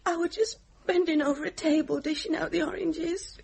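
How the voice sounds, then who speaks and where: An actress playing a naive, chatty young waitress with a Northern English accent in a radio drama. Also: female voice, very high voice, steady tone, moderate pace, vocal fry, a little mellow. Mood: candid, chatty.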